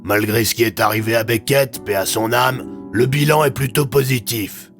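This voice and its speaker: A man voicing a confident, optimistic army colonel in an audio drama, briskly addressing his men. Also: mid-range voice, fairly even intonation, a bit bright, faint music behind, natural voice. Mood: upbeat briefing.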